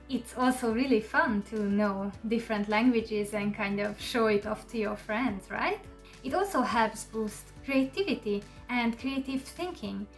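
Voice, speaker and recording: Hungarian-native woman, slightly high pitch, easy chatty vlog delivery, faint music bed. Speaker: channel host. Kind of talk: casual talk to camera.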